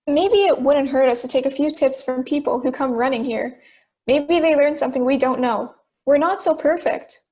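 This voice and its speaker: Persistent juror, acted by a woman, high voice, logical, pointed questioner, amateur audio drama. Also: moderate pace, a little mellow. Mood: earnest. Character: persistent, logical juror who challenges the others.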